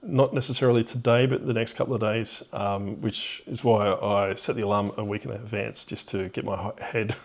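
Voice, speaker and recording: Low-pitched, halting man. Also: steady tone, vocal fry. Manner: hesitant, halting, with rueful chuckles. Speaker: podcast host telling a personal story. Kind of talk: unscripted reflective personal storytelling.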